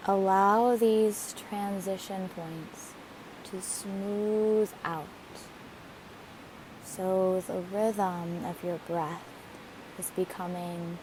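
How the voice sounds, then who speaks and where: Woman doing guided meditation, really slow and soothing, lowish voice, bit of hiss behind her. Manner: gentle, soothing, deliberate phrasing. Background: hiss, rushing waterfall water.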